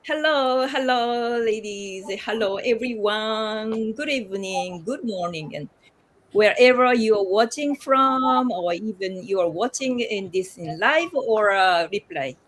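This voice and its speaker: A woman with a Japanese accent hosting a casual livestream, speaking haltingly as she searches for words in a non-native language. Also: mid-range voice. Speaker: host of a group livestream.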